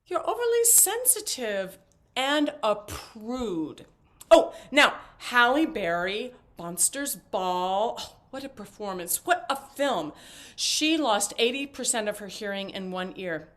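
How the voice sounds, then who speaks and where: Woman playing flighty older mother, high voice, unhurried pace, chatty and intrusive, theatrical stage acting. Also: very animated, a bit bright, heavily acted. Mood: rambling, eager. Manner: rambling, chirpy, theatrical trivia-telling.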